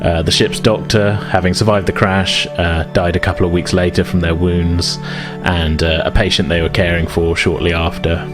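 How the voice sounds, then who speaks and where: A man with a low voice narrates a tabletop roleplaying game as game master. His delivery is conversational and descriptive. Music plays faintly behind him. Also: level tone, moderate pace.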